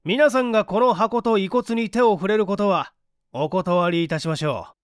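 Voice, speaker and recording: A man's voice: sits high, moderate pace, clean tone, no echo, studio quality, pitched above the performer's own voice, natural voice. A man voicing an indignant, haughty young man in a storybook reading, stiffly polite and challenging.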